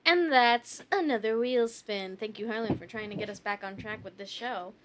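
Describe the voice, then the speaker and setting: A woman's voice: on the high side, normal speed, slight background noise. A young woman hosting a comedy play-reading show in casual banter with her castmates. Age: young adult.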